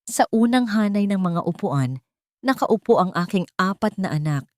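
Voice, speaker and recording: A woman reading a scripted first-person love story as narrator, in a measured, sentimental storytelling manner. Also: mid-range voice, expressive, no room sound, studio quality.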